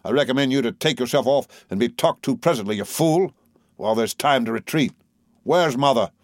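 A man's voice: medium pitch, animated, fairly quick, no echo, studio quality, pitched above the performer's own voice, close to an everyday voice. A man voicing a spiteful, scheming clerk in an audiobook, sneering and threatening with false familiarity.

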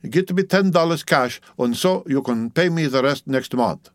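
A man's voice: fairly high pitch, animated, normal speed, no room sound, pitched above the performer's own voice, lightly acted. A storybook midwife, huge, brusque and out for money, acted by a male reader in a raised voice. She drives a hard bargain in clumsy English, blunt and unyielding, in a close, dry recording. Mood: scornful, hard. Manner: blunt, haggling, broken English. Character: hard-bargaining, enormously fat Dutch midwife woman, brusque and mercenary.

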